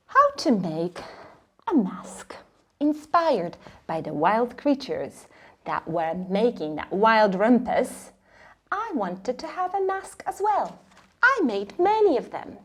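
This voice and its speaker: A woman presenting an informal step-by-step craft tutorial in an expressive, encouraging manner. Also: fairly high pitch, slow pace.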